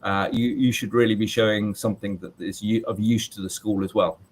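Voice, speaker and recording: A man in his late forties, a teacher, who talks in a loud voice and answers interview questions in a chatty way with many fillers and restarts. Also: fairly low pitch, flat delivery, a little fast, breathy edge. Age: 40s.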